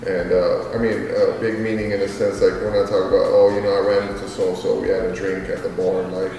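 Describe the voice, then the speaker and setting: Deep flat-voiced man, distant and echoey. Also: brisk. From Queens, New York; raised in Los Angeles. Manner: rambling, many fillers and repeats. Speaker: music artist and label owner. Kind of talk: casual interview answers. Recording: distant mic in echoey reverberant space with crowd.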